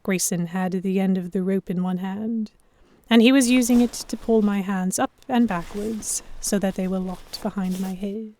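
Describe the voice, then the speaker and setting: A woman playing a stubborn, flustered young noblewoman, narrating her own story in a measured, expressive audio drama style. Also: medium pitch, slight background noise, lightly acted. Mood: tense, wistful narration.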